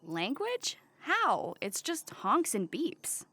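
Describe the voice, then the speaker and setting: Girl acting cheery clueless space cadet, highish voice, super bouncy, wide-eyed, comedy audio play. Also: wildly swooping pitch, takes it slowly, sharp tone, no echo, exaggerated voice. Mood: wide-eyed wonder. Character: eager, naive, cheerful young crew member in space comedy.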